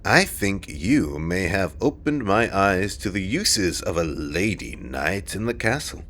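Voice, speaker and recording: Guy acting as pompous king, deepish voice, very expressive, condescending n imperious, fantasy audio drama. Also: measured pace, slight background noise, full character voice. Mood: smug, scornful. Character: haughty, disdainful king, imperious and old-fashioned.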